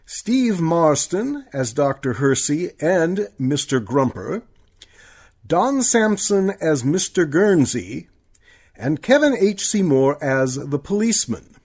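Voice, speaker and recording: A man's voice: a little high, big pitch swings, slow, darkish tone. A man reading scripted credits and announcements as a radio announcer.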